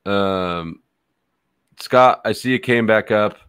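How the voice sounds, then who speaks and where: A man's voice: fairly low pitch, slow, a bit bright, smooth, dry recording, studio quality. A man hosting a livestream, talking in a loose, rambling, easygoing way as he wraps up. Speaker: livestream host. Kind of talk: casual livestream chat.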